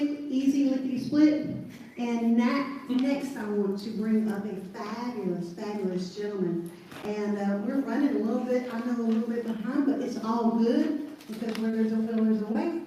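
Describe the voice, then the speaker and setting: A woman with a deep Southern accent giving a chatty, animated talk at a live event. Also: dull audio. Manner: chatty and animated, laughs at herself. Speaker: event speaker. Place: large event hall. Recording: echoey hall, distant mic.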